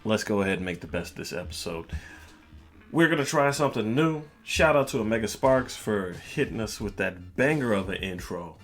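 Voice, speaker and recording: A man hosting a podcast, telling a personal story in a casual, measured way with music playing behind the voice. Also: lowish voice, expressive, a bit bright. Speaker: podcast host. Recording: music bed under the voice. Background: background music.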